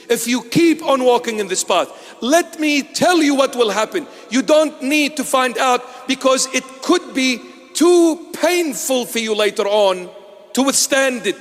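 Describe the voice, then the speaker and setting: Bishop in his 50s preaching, fairly high voice, big churchy reverb, goes quiet then builds up hard. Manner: emphatic, swinging between low intensity and raised exhortation. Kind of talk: sermon.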